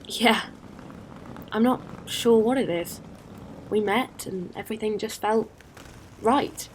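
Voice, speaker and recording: A woman playing a caring, earnest space traveler in an audio drama, speaking with gentle hesitancy. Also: medium pitch, takes it slowly, a little hiss or hum, lightly acted. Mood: worried.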